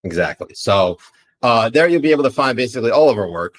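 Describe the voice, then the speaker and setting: A man's voice: medium pitch, animated, fast. A man with a Pakistani accent co-hosting a live political chat show, rambling with many fillers. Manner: rambling, filler-heavy, laughs easily. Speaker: podcast co-host.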